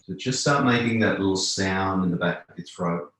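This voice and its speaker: Guy leading a yoga class, low voice, slow soothing phrases, super echoey room. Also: a bit bright. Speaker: yoga instructor. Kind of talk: guided yoga relaxation instruction.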